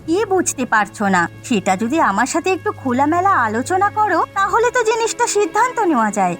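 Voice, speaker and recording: A woman voicing a practical, inquisitive village wife in a cartoon story, with an expressive, probing way of talking. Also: very high-pitched, quick pace, soft background music, full character voice. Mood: curious. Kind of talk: Bengali cartoon story voice acting.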